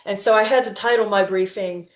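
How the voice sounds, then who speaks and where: A middle-aged woman giving a talk on a video call, her voice ringing in a roomy, echoey space. She speaks in measured stretches and often stops on fillers before picking the thought back up. Her pitch sits in an ordinary middle range. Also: steady tone. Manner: speaks slowly with frequent fillers and restarts. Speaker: presenter. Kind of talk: conference talk. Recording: roomy echo.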